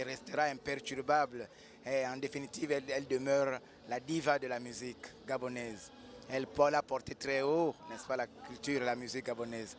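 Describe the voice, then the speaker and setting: A man at a concert giving formal, emphatic praise in a street interview. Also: mid-range voice. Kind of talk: street vox pop.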